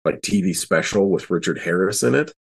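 A man with a very low, raspy voice chats on a round-table podcast. His manner is conversational and appreciative. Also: brisk. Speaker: podcast cast member. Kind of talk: casual round-table chat.

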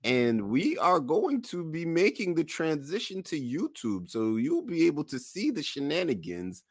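A man hosting a casual podcast, addressing listeners in measured, promotional show-host phrases. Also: fairly high pitch, huge pitch swings, husky, no echo, studio quality.

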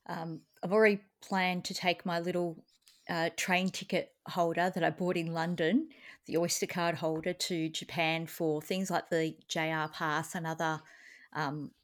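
A woman's voice: on the low side, little pitch movement, unhurried. A woman hosting a podcast in a casual, conversational style. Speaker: podcast host. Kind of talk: casual chat.

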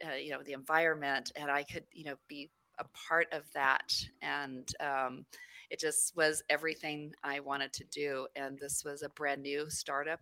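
Low-voiced woman with a bright tone. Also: no room sound. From New Mexico. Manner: rambling, many fillers and restarts. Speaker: credit union CEO, guest. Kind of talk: conversational interview answers.

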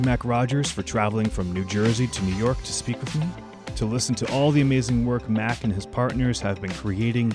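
A man hosting an interview podcast, speaking in a conversational way. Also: lowish voice. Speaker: podcast host.

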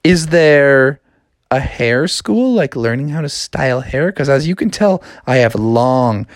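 A woman's voice: extremely low pitch, animated, unhurried, no echo, clean studio sound. A woman doing a coy, vain long-haired princess.

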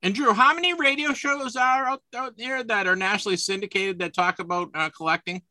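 A man's voice: high voice, fairly quick, bright, forceful tone, dry recording. A man in his fifties hosting a casual sports podcast, chatty, with frequent restarts and repeated words. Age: 50s. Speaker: podcast host.

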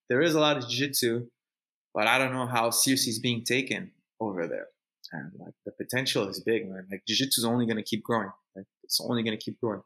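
A man's voice: fairly low pitch, sharp tone, rough recording. A Canadian man chatting informally in an interview, repeating phrases for emphasis and using plenty of slang. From Montreal, Canada. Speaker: jiu-jitsu competitor, interview guest. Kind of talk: casual, unscripted interview chat.

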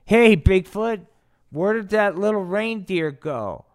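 A man's voice: sits high, lively intonation, slow pace, pitched above the performer's own voice, full character voice. A man voicing a laid-back, chummy space alien with a casual, folksy manner.

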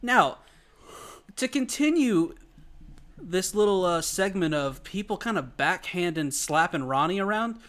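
A young man co-hosting a podcast in casual banter, often breaking into high-pitched giggles. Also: expressive, unhurried, fairly bright tone. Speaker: podcast co-host.

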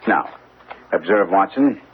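An actor playing a shrewd, commanding detective with precise, assured, instructive delivery in an old-time radio drama. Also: male voice, low-pitched, expressive, measured pace. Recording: tinny, noisy old radio broadcast.

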